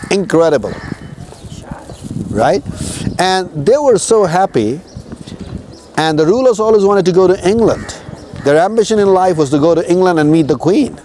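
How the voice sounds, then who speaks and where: A man's voice: sits high, expressive, normal speed, pauses often, mellow, a little hiss or hum. A man from Nepal guiding tourists outdoors, telling history in a casual, conversational way and often checking in with his listeners. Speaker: tour guide.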